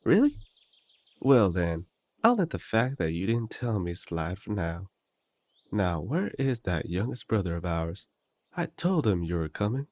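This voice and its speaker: A man's voice: sits low, sing-song, measured pace, darkish tone, no echo. A man playing a cheery, blunt country werewolf big brother in an immersive audio drama, with a folksy, teasing, hearty way of talking. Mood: excited.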